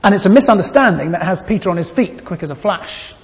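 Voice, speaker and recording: A man preaching a sermon, earnest and expressive, with shifting emphasis as he reads and explains scripture. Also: high-pitched, fast. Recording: dull sound with long hall reverb.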